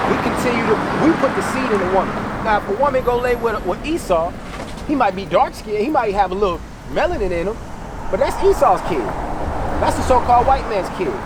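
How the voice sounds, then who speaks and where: An American man preaching in an impromptu, colloquial street sermon, exhorting and repeating phrases for emphasis. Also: high voice. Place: outdoors on a city street. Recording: loud outdoor traffic and street noise.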